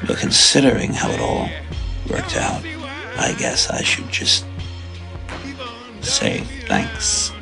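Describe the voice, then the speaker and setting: A man's voice: rumbling low, huge pitch swings, creaky, exaggerated voice. A man playing a drugged, menacing supervillain madman in a comic dub, theatrical and mocking, drawing out words with gleeful hatred. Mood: groggy sardonic. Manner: drawn-out, theatrical, mocking delivery. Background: music.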